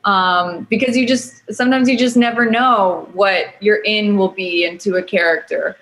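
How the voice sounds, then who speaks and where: A woman with a medium-pitched voice speaks casually as an actor on a panel. Her manner is light and playful, relaxed about how she puts things. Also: normal speed, poor audio.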